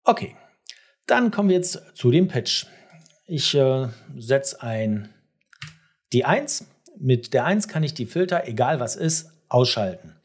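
A middle-aged man with a husky voice walks the viewer through software step by step. His pitch moves up and down in a lively way as he explains and addresses the listener directly. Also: measured pace. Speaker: tutorial presenter.

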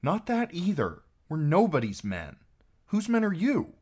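Give this storybook part to a man playing a fierce little girl on the run. The voice is pushed high and swings sharply, bristling with blunt commands. She sounds defiant and ready for a fight. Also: huge pitch swings, unhurried, darkish tone, slightly rough, heavily acted.

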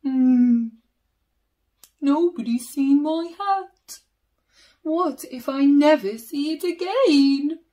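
A woman voicing a big, polite, forlorn bear, with earnest, drawn-out questions, in a children's picture book. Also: sits high, mellow. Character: big polite forlorn bear searching for his lost hat.

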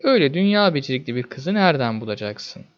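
Man playing a scornful older prince, animated pitch. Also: fast talker, highs cut off. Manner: mocking, dismissive. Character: scornful older princes mocking their puny youngest brother.

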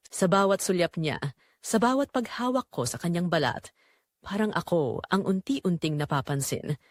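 A woman's voice: medium pitch, no echo, studio quality. A woman narrating a dramatic romance story in the first person.

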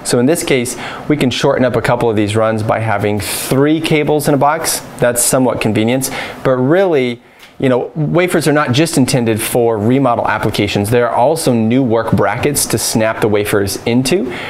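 Casting: American man, 30s, mid-pitched, practical electrician explaining a job informally, basement ambience noise behind him. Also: moderate pace. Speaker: electrician presenting a how-to tutorial. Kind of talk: informal hands-on instruction. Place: unfinished basement with exposed joists. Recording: clearly noisy room ambience.

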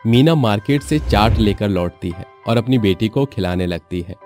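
A man's voice: lowish voice, normal speed, darkish tone, faint music behind. A man narrating a scripted family story as a storyteller.

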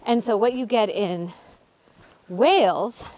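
A woman's voice: medium pitch, takes it slowly, darkish tone. A middle-aged woman hosting a casual walking talk outdoors, explaining history with frequent ums. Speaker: author and channel host. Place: outdoors on a cold hillside.

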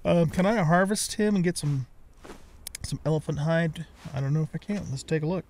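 A man's voice: on the high side, fairly quick, darkish tone, slight background noise. A middle-aged man giving casual let's play commentary, chatting and thinking aloud as he goes. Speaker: gaming let's play host.

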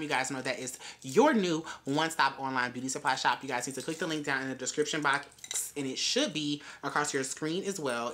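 Bright, animated young woman vlogger. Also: a bass voice. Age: 20s. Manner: animated, profane, playful bursts of shouting and sing-song. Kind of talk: casual direct-to-camera vlog chat. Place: bedroom closet with shelves of bags and shoes, indoors.

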